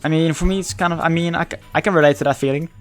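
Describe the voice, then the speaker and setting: A man whose first language is Dutch speaks English with a Dutch accent in casual livestream chat. He talks haltingly, searching for words and often restarting. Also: a little high, fairly even intonation, faint music behind. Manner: halting, searching for words, many repeated starts.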